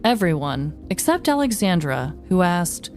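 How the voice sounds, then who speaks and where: A woman's voice: fairly low pitch, sing-song, measured pace, over music. A woman podcast host narrating a scripted comedic story.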